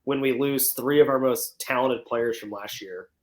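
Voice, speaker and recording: A man's voice: medium pitch, normal speed, a crowd in the background. A man co-hosting a soccer podcast, blunt and dismissive in casual chat. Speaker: podcast co-host.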